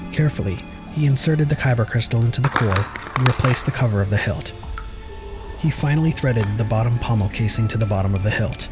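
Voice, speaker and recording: A man reads scripted dramatic narration as the storyteller of an audio drama. Prominent music plays behind his voice. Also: mid-range voice.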